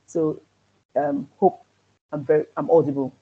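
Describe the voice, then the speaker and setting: A man who says he has a loud voice teaches a security class on a video call, hesitating often with ums and restarts. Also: a little high, big pitch swings, unhurried, gravelly, slightly rough. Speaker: security trainer. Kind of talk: online class presentation. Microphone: computer mic on a video call. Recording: dull lo-fi video call audio.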